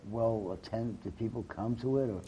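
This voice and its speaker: A man interviewing a guest, asking questions in a slow, friendly way. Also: lowish voice, dark tone, a little hiss or hum, poor audio. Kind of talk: casual interview.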